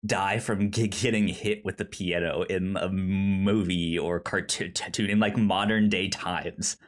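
A man co-hosting a podcast in casual film chat, halting and often repeating the starts of his words. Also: deep voice, steady tone. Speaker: podcast co-host.

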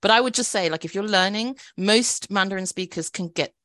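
A woman's voice: mid-range voice, a little fast, fairly bright tone, dry recording. A woman from Britain, a native Cantonese speaker with a British accent, co-hosting a podcast and patiently explaining in casual chat. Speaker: podcast co-host.

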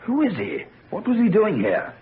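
A man's voice: high voice, huge pitch swings, moderate pace. An actor in an old-time radio drama playing a worried, protective older father, speaking in an urgent, imploring, theatrical manner. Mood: urgent questioning. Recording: tinny, noisy vintage radio recording.

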